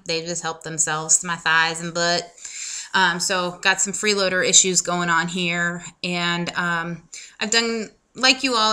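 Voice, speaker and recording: A woman's voice: on the low side, sharp tone, smooth. A woman in her thirties chatting casually to camera in a personal vlog, with self-deprecating humor and lots of ums. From United States. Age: 30s. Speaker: vlogger.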